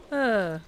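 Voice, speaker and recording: A streamer chatting casually while playing a game and answering chat, speaking around a cough drop with a slightly rough, sensitive throat. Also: medium pitch, slight background noise.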